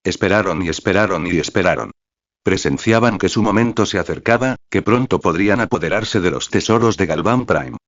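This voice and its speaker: A man narrating a fan novel in a steady, even audiobook style. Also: low-pitched, fairly quick, dry recording, dull audio.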